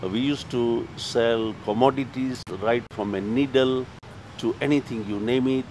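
A man, talking as a guest in a casual outdoor interview, halting with many fillers. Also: mid-range voice, fairly even intonation, darkish tone. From Uganda. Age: 60s. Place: outdoor garden. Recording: outdoor noise, traffic behind.

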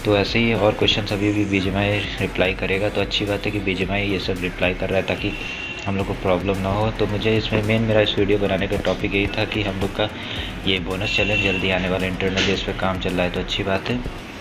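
A man's voice: sits low, flat delivery, brisk, poor audio. A man with an Indian accent giving casual gaming news commentary, talking informally to his viewers like brothers. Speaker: gaming YouTuber. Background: music behind the voice.

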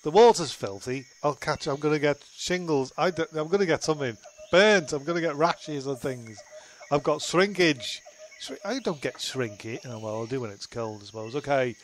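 High-voiced whingeing, sweary man. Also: moderate pace, a little hiss or hum. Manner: whingeing, sweary, rambling protest. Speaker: comedy podcast performer. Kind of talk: improvised comedy role-play.

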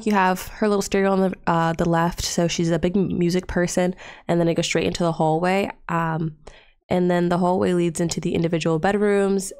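A woman's voice: fairly low pitch, darkish tone, smooth. Stuffy-nosed young woman, chatty gameplay narration. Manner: chatty, rambling, with many fillers. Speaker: Let's Play creator. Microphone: desktop USB mic.

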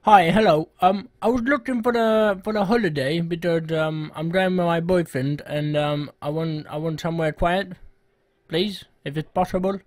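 A man making a prank phone enquiry in a hesitant, halting, polite way. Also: fairly high pitch, fairly even intonation, no room sound. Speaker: prank caller.

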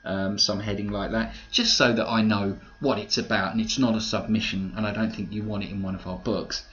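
A man's voice: on the low side, fairly even intonation, brisk, a bit bright. A man hosting a podcast, chatting casually in a rambling, conversational way with wry asides. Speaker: podcast host. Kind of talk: casual podcast commentary, reading out listener comments. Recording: dull lo-fi audio with clear noise.

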